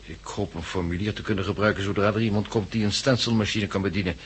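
A man's voice: on the low side, steady tone, fast talker, breathy edge. An actor playing an officious army colonel in a 1960s radio drama, formal, clipped and bureaucratic as he registers people. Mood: brisk, formal. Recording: noisy old radio recording with clicks.

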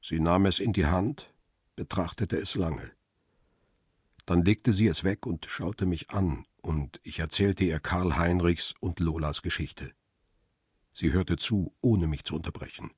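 A man's voice: low-pitched, darkish tone, slightly rough, no room sound, clean studio sound, full character voice. A man playing a world-weary paparazzo who narrates his own story soberly in a radio crime drama. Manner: sober, reflective.

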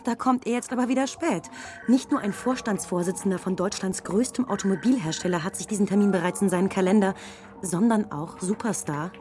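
A woman's voice: medium pitch, fairly quick, a little mellow, lightly acted. A woman acting in a German radio drama as a harried, easily indignant TV production colleague. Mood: busy, promotional. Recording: music under the voice.

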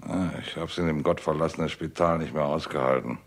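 An actor playing a weary, sardonic convalescent screenwriter in a 1960s radio drama, with a gravelly, husky voice and a teasing manner. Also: male voice, a bass voice, steady tone, fairly quick. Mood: tired, grumbling.

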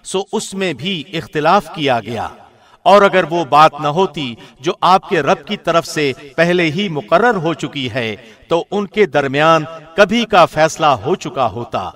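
A man reading a scripture translation in a formal, measured radio presenter's style. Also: on the high side, lively intonation, a bit bright.

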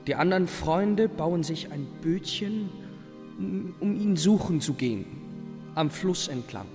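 A man's voice: fairly high pitch, animated, measured pace, darkish tone. A man giving a reflective, scripted monologue in a radio play. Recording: long reverb, faint music.

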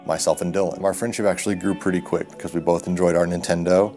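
A man's voice: sits low, steady tone, fast talker, dark tone, soft background music. A young man with an American accent, casually recalling things as an interviewee.

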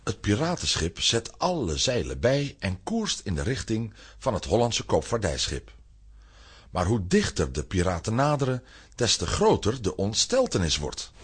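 Male narrator for a children's radio play: very husky, deep, suspenseful, swooping theatrical delivery. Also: big pitch swings, moderate pace. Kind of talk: dramatic scripted storytelling for children's audio drama.

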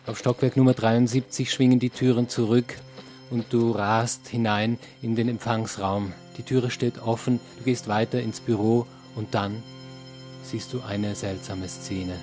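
A man narrating a radio play in the second person, telling the story in a measured, descriptive way. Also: medium pitch, flat delivery, darkish tone. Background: music.

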